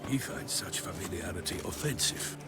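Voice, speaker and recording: An actor playing a proud, courtly knight in a video game, with formal, theatrical phrasing. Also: male voice, deep voice, expressive, normal speed. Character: courtly, florid knight, proud and dramatic, devoted to duchess. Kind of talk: video game dialogue acting. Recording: music behind the voice. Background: game music.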